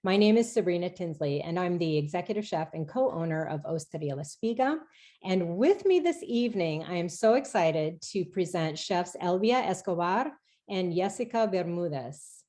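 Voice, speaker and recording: A woman in her forties hosts an interview on a video call from her kitchen. She sounds eager when she welcomes her guests. She pauses on fillers while she puts her questions together. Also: on the low side, animated, dark tone. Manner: enthusiastic, with hesitant fillers when asking questions. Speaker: host, executive chef. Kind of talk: interview hosting. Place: home kitchen.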